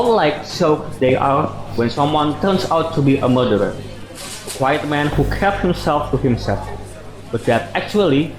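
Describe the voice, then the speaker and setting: An actor playing a laid-back, blunt, joking office colleague in a radio drama, with casual, plain-spoken delivery. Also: male voice, on the high side. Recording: echoey room with music behind.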